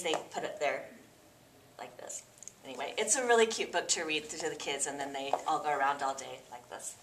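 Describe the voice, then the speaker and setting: Animated, bright-voiced woman in an echoey room. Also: fairly low pitch. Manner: animated, chatty. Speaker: actor and volunteer reader. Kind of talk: casual demonstration talk about reading aloud to children. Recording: echoey, distant, faint background noise.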